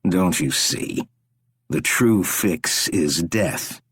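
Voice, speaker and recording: A man doing a soft-spoken menacing captor. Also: sits low, animated, slightly rough, dry recording, heavily acted. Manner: slow, soft, quietly menacing.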